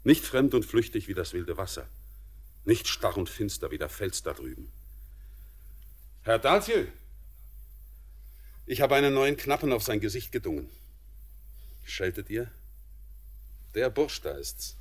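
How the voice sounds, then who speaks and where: A man's voice: on the low side, very animated, measured pace, long pauses. An actor playing a stern, proud mine foreman with a poetic streak, declamatory 1940s radio drama style. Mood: restrained. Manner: commanding, declamatory.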